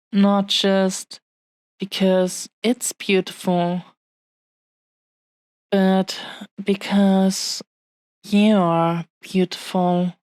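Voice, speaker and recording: Woman playing a slow-speaking, possessive dragon-blooded fiancée. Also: medium pitch, darkish tone, no room sound. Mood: awed, tender. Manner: earnest, halting, heartfelt delivery in short phrases. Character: possessive, adoring dragon-blooded young fiancée, tender and remorseful. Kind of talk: intimate scripted roleplay audio.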